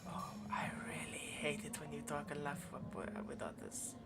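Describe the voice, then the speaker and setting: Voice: medium pitch, lively intonation, normal speed, natural voice. A performer voicing a possessive, smug yandere vampire in an intimate ASMR roleplay, teasing and condescending. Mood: menacing. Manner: teasing, haughty, flustered stammers.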